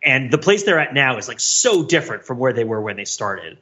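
A man co-hosting a podcast, chatting casually about pop culture in a rambling, digressive, animated way on a slightly lo-fi track. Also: mid-range voice, fairly quick. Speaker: podcast co-host.

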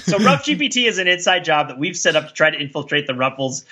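A middle-aged man co-hosting a podcast, casually talking about pop culture in a rambling, self-reflective, animated way. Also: fairly high pitch, fast talker. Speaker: podcast co-host.

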